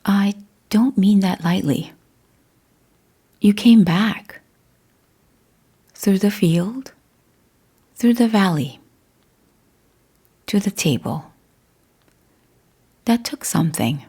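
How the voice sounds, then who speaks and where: Very slow, hushed woman guiding meditation. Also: mid-range voice, lively intonation, breathy edge. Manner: measured, hushed, deliberate with long pauses. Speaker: guided meditation host. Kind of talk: slow guided meditation narration.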